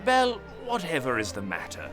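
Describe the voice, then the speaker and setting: A man's voice: mid-range voice, huge pitch swings, brisk, music in the background. A man playing a jolly, bemused boss hosting a party in a comic radio play. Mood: bemused, coaxing.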